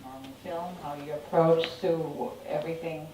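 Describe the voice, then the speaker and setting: A woman answers at a screening Q&A, hesitant and trailing off. Her voice is low and mellow. She is far from the mic in a very reverberant hall. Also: steady tone, moderate pace, dull audio, a little hiss or hum. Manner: hesitant, trailing off mid-thought. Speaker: costume designer. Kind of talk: guest answering at a film Q&A. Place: cinema hall. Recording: echoey cinema hall from far off.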